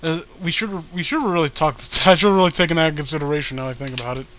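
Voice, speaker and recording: A young man co-hosting a casual comedic read-along of a comic, excitable and swearing, often stumbling over his words. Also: on the high side, quick pace. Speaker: co-host reading a comic aloud.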